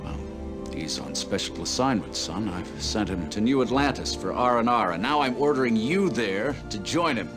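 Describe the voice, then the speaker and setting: An actor playing a measured, fatherly senior military leader with an air of command in a video game cutscene. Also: on the low side, lively intonation, lightly acted. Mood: proud, paternal. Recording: music behind the voice. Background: music.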